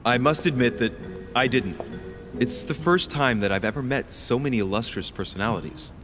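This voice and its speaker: A man's voice: medium pitch, moderate pace, over music, close to an everyday voice. A man voicing a polite young nobleman in a video game, formal and deferential. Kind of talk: game voice acting.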